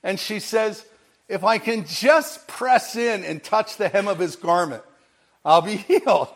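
A man's voice: sits high, measured pace. A man preaching a sermon with a big voice, telling a story with energy and acting out bits of dialogue. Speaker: pastor.

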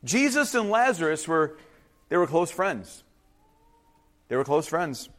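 A man's voice: fairly high pitch, very animated, measured pace, fairly bright tone. A man who grew up speaking Ukrainian preaches a sermon, reading and explaining scripture in an informal, conversational way with asides to his listeners.

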